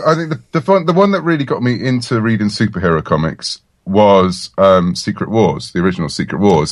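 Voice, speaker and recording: A man's voice: fairly low pitch, dry recording, studio quality. An English man in his forties, a writer being interviewed, chatting casually and enthusiastically. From England. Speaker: comic book writer, guest.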